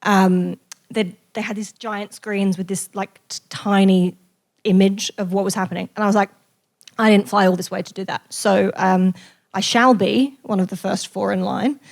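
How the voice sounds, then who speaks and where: A woman telling an anecdote to an audience in a casual, animated, self-deprecating way. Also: mid-range voice, moderate pace, creaky. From raised in Australia. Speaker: guest journalist and author.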